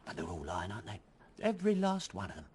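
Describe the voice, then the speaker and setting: An actor playing a gossipy, deferential Paris bar owner in a radio drama, garrulous and familiar. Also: male voice, fairly low pitch, huge pitch swings, fast, darkish tone, husky, heavily acted. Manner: garrulous, familiar, tag questions.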